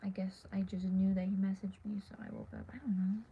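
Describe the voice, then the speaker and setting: A teenage girl livestreaming from her dark bedroom at night, talking in a tired, half-whispered ramble while losing her voice. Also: mid-range voice, level tone, a little fast, breathy edge. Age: teens. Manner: hushed, half-whispered and rambling with many fillers. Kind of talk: casual late-night livestream chat. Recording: dull lo-fi phone audio with faint hiss.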